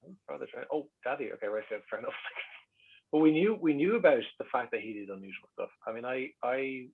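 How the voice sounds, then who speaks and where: Dublin guy chatting quick, bit raspy, wry storyteller, kinda dull lo-fi sound. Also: medium pitch. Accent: Dublin Irish. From Dublin, Ireland. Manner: wry, joking, animated storyteller. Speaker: guest speaker. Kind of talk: casual chat, reminiscing.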